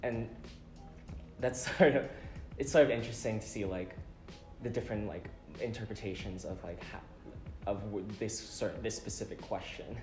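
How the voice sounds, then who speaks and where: Low-voiced young man from Saipan. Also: moderate pace. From Saipan, Northern Mariana Islands. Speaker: student panelist. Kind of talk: casual personal testimony. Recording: roomy room with background music.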